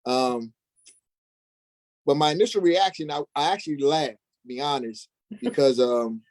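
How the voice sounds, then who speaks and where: A man of average pitch talking casually as an interview guest. He recounts his experiences as a stage actor in a conversational style. The sound is dry, with no room echo. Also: normal speed.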